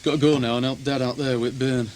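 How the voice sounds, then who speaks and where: An actor playing a matter-of-fact young charcoal burner in a radio play. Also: male voice, mid-range voice, little pitch movement, fast, darkish tone, a little hiss or hum, lightly acted.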